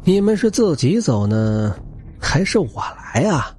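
A man's voice: fairly high pitch, very animated, unhurried, a little mellow, soft background music. A man voicing a cocky, easygoing young fighter in an audiobook, with a light, nonchalant manner. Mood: smug, playful. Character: cocky, easygoing young male fighter in a post-apocalyptic story.

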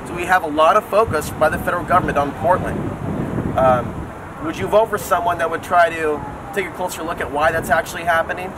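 A man talks quickly and insistently about politics out on a city street at night. His voice sits a little high and his delivery is earnest and argumentative. Traffic noise is clear around him on the handheld camera recording. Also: recorded from a distance. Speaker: city council candidate and local TV show host. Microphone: handheld camera a step or two away. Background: city street ambience and vehicles.

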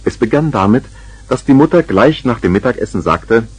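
A man's voice: mid-range voice, lively intonation, brisk, breathy edge, no room sound. A man narrating a 1970s German children's radio play as its storyteller. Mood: measured.

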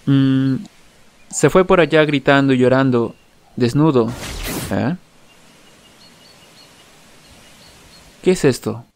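A man's voice: mid-range voice, unhurried, a little hiss or hum, natural voice. A man with a Latin American Spanish accent voicing an easygoing, mildly exasperated hero in an anime fan dub, casual and offhand. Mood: puzzled.

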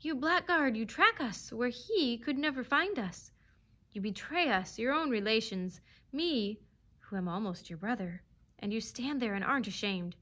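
A woman's voice: mid-range voice, lively intonation, moderate pace, a bit bright. A woman playing a wounded storybook fox, desperate and embittered, speaking with intense feeling. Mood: bitter contempt. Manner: beseeching, embittered, hissing. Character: wounded fox, desperate, pleading, then bitterly contemptuous.

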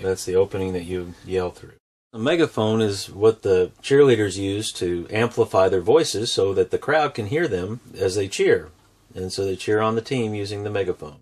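Low-pitched American man teaching drawing. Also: darkish tone. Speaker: drawing instructor and show host. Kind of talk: step-by-step drawing tutorial for children.

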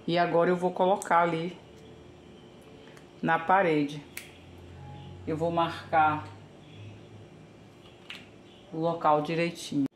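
A woman's voice: low-pitched, level tone, slow, long pauses. An older woman from Brazil casually narrating a home how-to, chatty and thinking aloud while she works in her kitchen. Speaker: home vlogger. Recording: echoey kitchen, faint background hiss.

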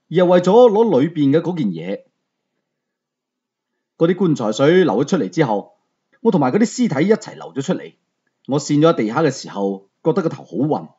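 Male audiobook narrator, Hong Kong Cantonese accent: mellow tone, animated delivery, conversational first-person storytelling. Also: fairly high pitch, breathy edge, muffled recording.

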